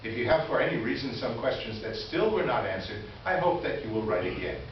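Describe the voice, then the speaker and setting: A man's voice: mid-range voice, very animated, a little fast, close to an everyday voice. Far-off, muffled stage recording. Actor as a smug, officious male store manager delivering a formal, condescending letter. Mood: smugly formal. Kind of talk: reader's theater, dramatic letter reading. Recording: echoey hall, distant mic, dull, noisy.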